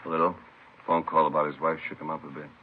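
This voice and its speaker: A man acting a calm, matter-of-fact policeman briefing colleagues, understated, in old radio crime drama style. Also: a bass voice, fast talker. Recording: tinny, hissy vintage radio recording.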